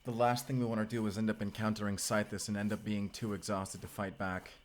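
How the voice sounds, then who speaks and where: Male actor as mysterious vampire slayer, low voice, earnest and protective, intimate audio drama delivery. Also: level tone, fast talker, slight background noise, close to an everyday voice. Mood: weary, watchful. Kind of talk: cinematic audio roleplay acting.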